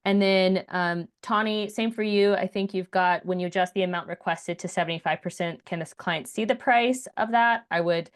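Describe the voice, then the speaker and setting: A woman's voice: mid-range voice, moderate pace, hardly any pauses, clean tone. A woman moderating a webinar, informally reading out attendee questions, summing them up and directing where they should go.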